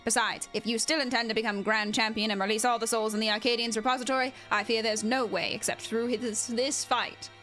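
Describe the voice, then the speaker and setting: A woman plays an indignant wrestling announcer from a video game. The voice is high and sharp, with dramatic, emphatic delivery and articulate wording, over faint music. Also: steady tone, brisk, hardly any pauses, natural voice. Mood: grave. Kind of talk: amateur voice acting of game dialogue.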